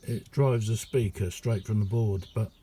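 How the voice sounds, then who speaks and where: A middle-aged man, giving a casual, halting and unhurried hands-on demonstration as a radio hobbyist. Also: fairly low pitch, dark tone, a little hiss or hum. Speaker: radio hobbyist showing a homemade receiver. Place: indoor hobby radio room.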